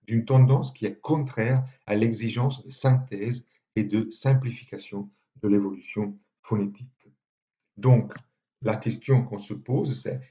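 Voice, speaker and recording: A man with an Italian accent gives a measured university lecture in a low, mellow voice. He pauses often with small hesitations as he works through each point. The recording is dull and lo-fi.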